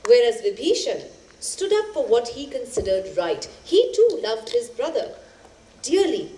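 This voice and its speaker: A spirited teller of ancient epics on stage. Her voice sits a bit high and moves expressively up and down in dramatic phrases. The space is clearly echoing. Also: big pitch swings, measured pace, warm tone. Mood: spirited, countering. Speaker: stage actor in a two-hander play. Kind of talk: theatrical storytelling of epic tales. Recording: echoey stage, faint background noise.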